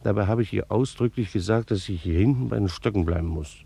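A man's voice: lowish voice, fast, gravelly, dark tone. A male actor in a radio play as a dutiful, put-upon retired bailiff in his sixties who keeps bees, musing formally and questioning himself. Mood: reflective, wistful. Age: 60s. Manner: ruminating, formal old civil servant, self-questioning. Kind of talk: German radio play acting, reflective first-person monologue.

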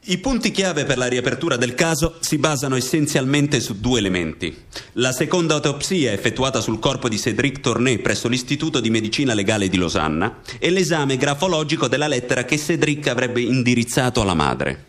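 A man's voice: medium pitch, expressive, fairly quick, fairly bright tone. A man reading scripted documentary radio drama narration in a formal, rhetorical manner.

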